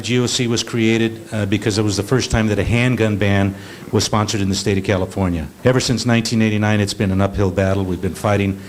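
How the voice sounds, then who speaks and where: A middle-aged American man speaking as a panel advocate, emphatic and deliberate. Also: lowish voice, a little hiss or hum. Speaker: panel guest, advocacy executive director. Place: storefront meeting room, live event.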